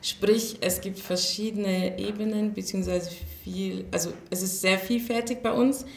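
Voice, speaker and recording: A woman's voice: medium pitch, steady tone, moderate pace, gravelly, slight background noise. A twenty-year-old woman giving spontaneous interview answers as a member of a youth council. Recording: roomy echo.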